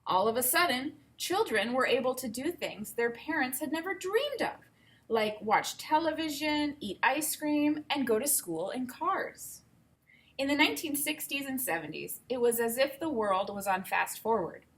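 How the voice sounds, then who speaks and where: A woman teacher reading a storybook aloud and pausing to explain it simply to young learners. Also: high-pitched, lively intonation, a bit bright. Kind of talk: read-aloud lesson with think-aloud commentary.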